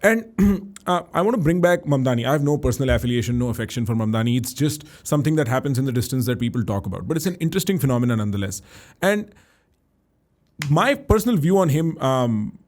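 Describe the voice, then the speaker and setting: A man's voice: medium pitch, lively intonation, fast, a little mellow. A man speaking as a podcast host, asking questions in a casual, conversational interview style.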